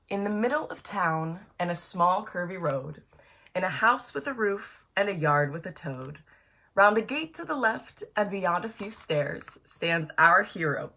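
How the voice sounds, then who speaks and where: A woman reading her storybook aloud to children in an animated, encouraging way, addressing young listeners directly. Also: lowish voice, slight background noise.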